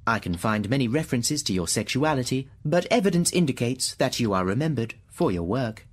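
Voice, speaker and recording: Radio drama computer played by a man: literal, unemotional wording, husky voice with wide pitch movement, clipped and exact. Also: expressive, brisk. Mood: formal, matter-of-fact. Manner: precise, clipped, literal delivery of data. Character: literal-minded talking computer, formal and unemotional.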